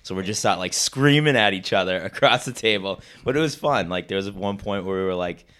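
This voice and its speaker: A man hosting a podcast from his living room, chatting casually with friends, laughing often and hoarse from losing his voice. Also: deep voice, fairly quick, fairly bright tone. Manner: laughing often, rambling storytelling. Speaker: podcast host. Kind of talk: casual chat.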